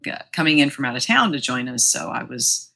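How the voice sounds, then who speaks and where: A woman's voice: very deep voice, big pitch swings, a little fast, vocal fry, pushed and bright, slightly rough. A woman speaking casually and effusively as an interview guest, with plenty of enthusiasm for her work. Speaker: guest, museum publicity director.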